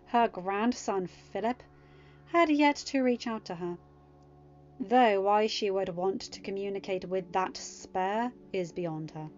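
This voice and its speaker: A woman reads a fan fiction story aloud with expressive delivery. Her voice sits at an ordinary middle pitch. The recording is a little muffled, with quiet music playing underneath. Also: slow pace.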